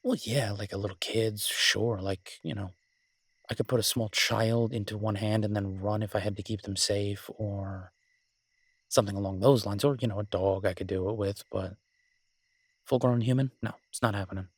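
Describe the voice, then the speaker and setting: Male actor as devoted alpha werewolf lover for romantic audio drama: low, even, intimate, teasing and protective. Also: slightly rough, no echo. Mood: playful, teasing. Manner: tender, teasing, unhurried pauses.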